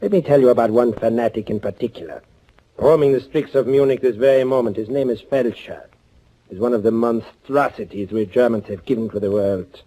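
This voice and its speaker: An actor playing a bitter young underground avenger in a 1940s radio thriller, sardonic and deliberate, with a husky voice. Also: male voice, mid-range voice, warm tone, natural voice. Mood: bitter, intense. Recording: muffled 1940s radio recording.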